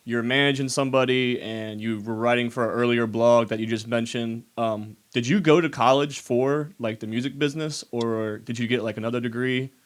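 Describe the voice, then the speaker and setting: A man's voice: mid-range voice, steady tone, normal speed, few pauses. A young man co-hosting a podcast, asking loose, slangy questions in a casual way. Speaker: podcast co-host.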